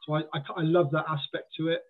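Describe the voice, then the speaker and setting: A man's voice: fairly high pitch, flat delivery, quick pace. Welshman with a mixed accent on a tinny line. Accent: mixed Welsh and southern English. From Wales. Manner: chatty, many restarts and acted quotes. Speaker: podcast guest. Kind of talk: casual chat.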